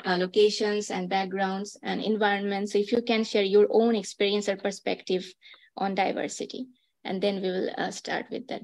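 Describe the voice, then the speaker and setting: Woman panel moderator, halting delivery full of fillers, dry close sound. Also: medium pitch, no room sound. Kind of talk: reading speaker introductions.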